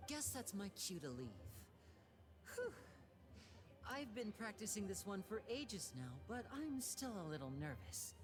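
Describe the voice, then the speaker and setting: A woman voicing a composed young male stage magician in a video game, with a measured, theatrical, quietly cutting delivery. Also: medium pitch, big pitch swings. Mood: sincere, nervous. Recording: music clearly behind the voice.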